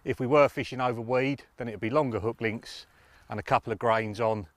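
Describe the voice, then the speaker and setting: A man's voice: mid-range voice, steady tone, brisk, dry recording. A middle-aged man presents informal fishing tips outdoors in a matter-of-fact, explanatory way. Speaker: angling presenter. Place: outdoors on a lakeside bank.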